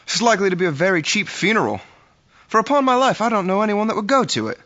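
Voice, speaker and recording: A man as a high, jocular Victorian businessman. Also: sing-song, fast, bright, forceful tone, full character voice. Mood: amused scorn. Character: jocular, callous Victorian businessman, greedy for lunch. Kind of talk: theatrical audio drama acting. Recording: muffled lo-fi audio with music.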